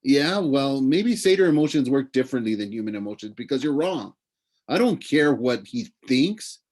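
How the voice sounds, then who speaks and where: A man's voice: medium pitch, animated, moderate pace, darkish tone, voice pushed up, natural voice. A man voicing a stubborn, defensive boy hero in a storybook, with an emphatic, indignant delivery.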